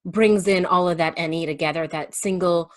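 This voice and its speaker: Lower-pitched woman explaining her thoughts casually. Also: fairly even intonation, moderate pace. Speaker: guest on a remote call.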